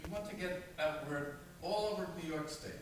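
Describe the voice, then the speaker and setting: A man's voice: fairly high pitch, normal speed, lightly acted. A man as a decisive farm leader, echoey. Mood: purposeful. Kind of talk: stage radio play acting. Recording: echoey hall from far off, faint noise.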